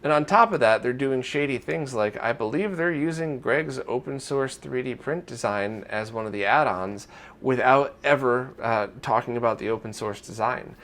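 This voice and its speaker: A man's voice: medium pitch, normal speed, a bit bright. A man presenting an informal retro gaming news roundup in a conversational, opinionated way.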